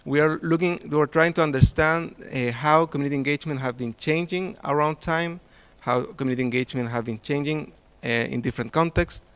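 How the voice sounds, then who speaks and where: A man's voice: on the high side, fairly quick, few pauses, a bit bright, clear, resonant tone. Man presenting an academic talk. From Chile. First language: Spanish. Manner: hesitant, many fillers and restarts. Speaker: conference presenter.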